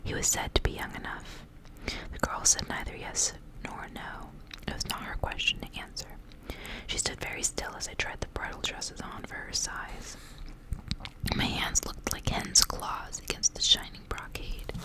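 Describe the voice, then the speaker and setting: A woman reading a story aloud in an ASMR style, whispering softly and without hurry. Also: rough recording. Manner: whispering, soft and unhurried storytelling. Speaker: ASMR reader.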